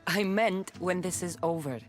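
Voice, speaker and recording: A woman's voice: lowish voice, normal speed, close to an everyday voice. An actress voicing a bold, wry warrior woman in a mythic Greek video game. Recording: music behind the voice.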